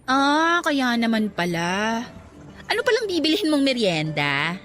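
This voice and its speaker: An actress playing a bubbly, lovestruck young female student in a radio drama, animated and quick to defend herself. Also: fairly high pitch. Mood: sweet.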